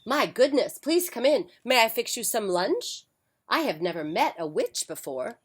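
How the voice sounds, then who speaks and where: A woman's voice: a little high, very animated, normal speed, husky, pitched above the performer's own voice. A woman voicing a gracious, sing-song mother. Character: polite, cheerful mother and hostess.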